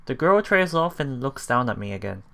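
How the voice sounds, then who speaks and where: A man's voice: mid-range voice, very animated, normal speed, gravelly, bright, forceful tone. A man hosting a let's play, reading a visual novel aloud and adding casual reactions, sometimes repeating himself. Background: game music.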